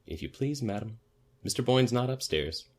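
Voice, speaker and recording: A man's voice: mid-range voice, lively intonation, moderate pace, voice pushed up. A man voicing a prim, respectful parlour maid, a woman with a grudging, self-righteous manner, in an audiobook. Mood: doubtful. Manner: deferential, prim, grudging in answers. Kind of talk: audiobook character voice.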